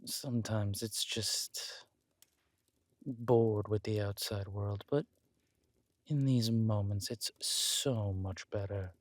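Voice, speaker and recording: A man's voice: on the low side, steady tone, slow, dry recording. A man playing a scheming, flirtatious villain king in an intimate roleplay audio drama, with a languid, teasing, menacing delivery. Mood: teasing, amused.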